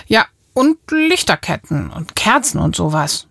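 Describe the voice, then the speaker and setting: A woman in a children's audio play conversation, explaining things simply with thoughtful pauses. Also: fairly low pitch, big pitch swings, creaky, fairly bright tone, no echo, clean studio sound. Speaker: presenter talking with a child character.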